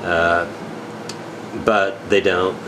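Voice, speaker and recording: A man giving a casual, unscripted product update as an equipment dealer, in a rambling, halting, matter-of-fact way. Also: deep voice, level tone, noisy background.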